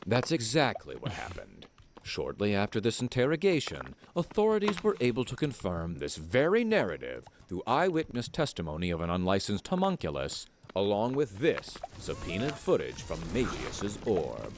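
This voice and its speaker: A man playing a grave true-crime narrator in a fantasy world, delivering scripted narration with solemn deadpan. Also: on the low side, moderate pace, background noise.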